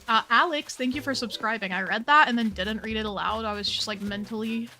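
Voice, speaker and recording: Young female streamer, chatty and bubbly, slightly worn voice, soft game music under her. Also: on the high side, brisk. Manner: chatty, bubbly, says "like" a lot. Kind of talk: casual game stream chat.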